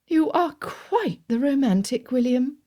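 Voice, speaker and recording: A woman playing a brisk commanding older aunt. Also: sits high, big pitch swings, warm tone, pitched above the performer's own voice. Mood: fond. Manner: brisk and pointed.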